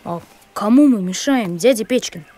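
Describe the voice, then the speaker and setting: A woman voicing a sensible, mild young village boy in an animated cartoon. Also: medium pitch, normal speed, noisy background, close to an everyday voice. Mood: reasonable.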